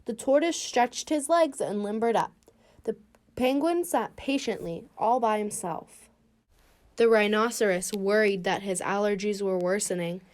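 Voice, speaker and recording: A woman reading a children's picture book aloud, expressive and warm, with a bright lift when she greets the listeners. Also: medium pitch, a little slow. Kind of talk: storybook read-aloud for kids.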